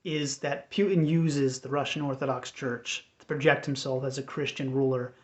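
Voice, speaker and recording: An American man giving an unscripted personal update in a measured, earnest, hesitant way. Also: mid-range voice, steady tone. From United States. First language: English. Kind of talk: unscripted personal talk to camera. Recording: dull, lo-fi sound.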